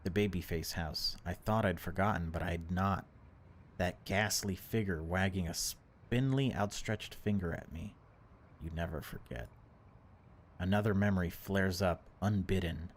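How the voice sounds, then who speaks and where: A man with a deep, low voice narrates a horror game aloud. He reads it like a storyteller, theatrical and often hushed, as a let's-play host would. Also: a little slow, a little hiss or hum. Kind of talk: dramatic read-aloud narration of a horror visual novel.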